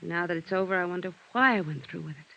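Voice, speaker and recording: An actress playing a weary, melancholy widow in a vintage radio drama, speaking in a hushed, wistful way. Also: female voice, on the low side, racing, vocal fry. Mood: wistful, bitter. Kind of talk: 1950s radio drama acting. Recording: dull, noisy old radio recording.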